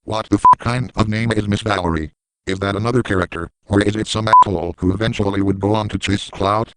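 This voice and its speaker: A man's voice: lowish voice, steady tone, fairly quick, bright, forceful tone, smooth, dry recording, rough recording. A man giving sarcastic, irreverent commentary in a snide manner. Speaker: mocking commentator.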